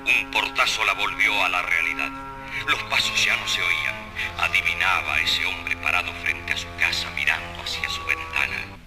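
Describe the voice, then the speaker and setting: A man performs as a solemn narrator of a romantic suspense serial on the radio. His voice is very low, measured and theatrical, with prominent music playing underneath. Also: little pitch movement, lightly acted. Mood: suspenseful, intimate. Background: prominent background music.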